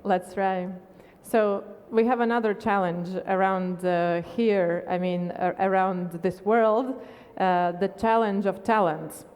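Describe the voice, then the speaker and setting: A woman's voice: medium pitch, steady tone, slow, a little mellow, smooth. A woman, moderating a conference talk in a conversational way. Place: large conference stage. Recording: reverberant conference hall.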